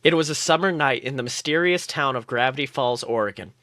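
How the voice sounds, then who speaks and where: A man hosting a show, reading comic fan fiction aloud in an expressive, playful storytelling way. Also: mid-range voice, fairly quick. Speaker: podcast host.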